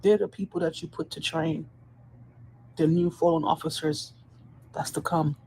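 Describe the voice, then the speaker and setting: A woman's voice: low-pitched, fairly even intonation, moderate pace, dark tone, a little hiss or hum, low-quality recording. A woman from the Dominican Republic, a corrections officer, talking earnestly to camera in a personal video from her post at work, emphatic, often restarting and repeating phrases. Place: on duty at a hospital post.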